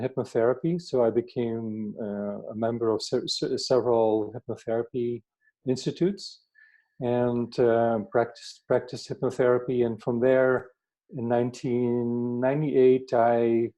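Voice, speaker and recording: Older male interviewee, Dutch accent. Mid-pitched, soft dark tone, reflective and halting, repeats words while explaining. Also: rough recording. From Netherlands. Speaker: workshop facilitator, therapist being interviewed.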